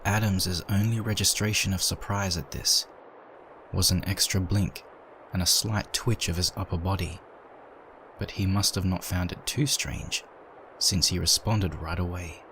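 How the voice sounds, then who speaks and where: A man reading a dark story aloud in a measured, dramatic narration. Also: low-pitched, steady tone, a little hiss or hum, rough recording.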